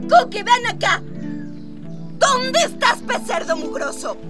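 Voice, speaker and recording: A very high, swooping voice of a spoiled young princess. She is haughty, whiny and melodramatic in radio-comedy style, drawing out her words slightly away from the mic. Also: very animated, slow pace, exaggerated voice. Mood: scolding. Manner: whiny, imperious, dramatic. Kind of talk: comic radio drama acting. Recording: distant miking with music underneath.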